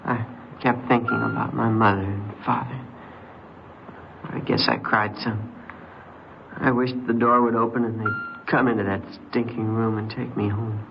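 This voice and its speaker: A man's voice: fairly low pitch, level tone, unhurried, gravelly, background noise. An actor playing a naive, boastful American runaway teenage boy who poses as a soldier, hesitant and defensive, in an old-time radio crime drama. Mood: confiding, subdued. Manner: hesitant, defensive, eager to seem grown-up. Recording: dull vintage radio recording, music behind.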